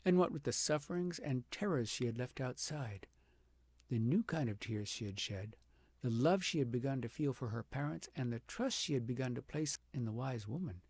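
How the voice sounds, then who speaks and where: A man narrates a children's fairy tale in a gravelly voice with plenty of fry. He leans on key words and stretches phrases for drama. The sound is dry and intimate, with no room around it. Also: mid-range voice, darkish tone, no echo. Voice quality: gravelly, slightly husky. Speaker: audiobook reader.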